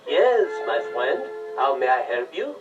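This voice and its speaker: A man playing a polite, excitable French florist in a storybook read-along, with a French accent and theatrical courtesy. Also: medium pitch, unhurried, recorded from a distance, lo-fi sound, over music. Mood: proud, gracious.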